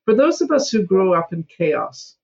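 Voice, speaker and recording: A woman's voice: mid-range voice, sing-song, normal speed, vocal fry, darkish tone, husky, lo-fi sound. A woman in her seventies from Scotland, a guest in a podcast interview, speaking emphatically and sometimes mimicking whiny excuses. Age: 70s.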